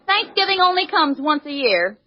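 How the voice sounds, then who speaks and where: A woman's voice: high-pitched, big pitch swings, moderate pace. A woman trading scripted comedy banter as a cast member on a 1940s radio show. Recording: noisy, dull 1940s radio recording.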